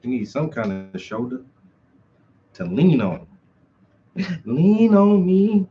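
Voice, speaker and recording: A man's voice: fairly high pitch, big pitch swings, slow pace, muffled recording, soft background music. A man talking casually to himself while he works on a craft project, muttering, humming and breaking into song. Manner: mutters, hums and sings along while working. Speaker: artist and channel host.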